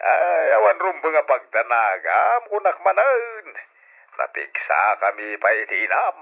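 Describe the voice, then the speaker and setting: A man's voice: mid-range voice, huge pitch swings, slow pace, vocal fry, heavily acted. An actor with an Ilocano accent playing a hearty, doting older farmer father in a radio drama. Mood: hearty, reassuring. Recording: tinny phone-like old radio sound.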